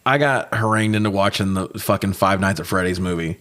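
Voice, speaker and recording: A man hosting a movie review podcast, recapping the film casually with opinionated, sweary commentary and animated retelling. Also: low-pitched, fast talker.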